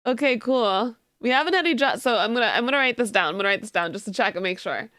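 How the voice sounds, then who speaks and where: Sleepy girl streamer chatting w chat, kinda high voice, dry close sound. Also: animated, quick pace, no room sound. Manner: chatty, thanks viewers warmly and giggles. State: sleepy, just woke up. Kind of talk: casual live-stream chat.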